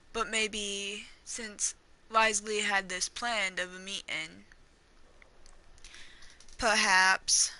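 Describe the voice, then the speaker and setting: A woman's voice: medium pitch, bright, forceful tone. A woman giving casual gameplay commentary, thinking aloud in a hesitant, rambling way and trailing off mid-thought. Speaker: gamer reacting and theorizing during a playthrough.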